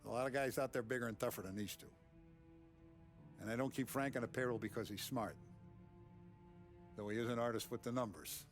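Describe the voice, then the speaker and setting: A man voices a middle-aged mob boss who speaks in a measured, fatherly way with quiet menace behind it. His voice sits at an ordinary pitch, and a soft score plays beneath him in the game cutscene. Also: faint music behind, lightly acted. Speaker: crime boss. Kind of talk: video game cutscene acting.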